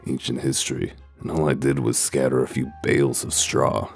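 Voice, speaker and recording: A man playing a gruff, cynical, humorless wolf detective in a comic dub, with a deadpan, blunt growl. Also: normal speed, soft background music. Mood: gruff, impatient. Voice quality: very deep, gravelly growl. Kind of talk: dramatic comic dub acting.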